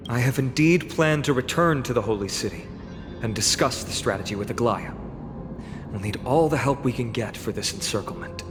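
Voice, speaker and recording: A man plays a grave young warrior leader from a fantasy game. His mid-pitched voice is formal and commanding, ringing with long reverb while music plays clearly behind. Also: natural voice. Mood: solemn, determined. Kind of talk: video game voice acting.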